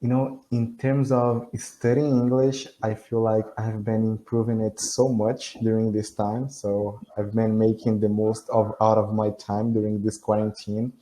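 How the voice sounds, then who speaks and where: Brazilian-accented man, low dark voice, careful halting english, video call. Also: level tone, rough recording. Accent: Brazilian Portuguese. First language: Portuguese. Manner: careful, halting, with frequent restarts and soft laughs. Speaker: language student. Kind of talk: casual video call chat.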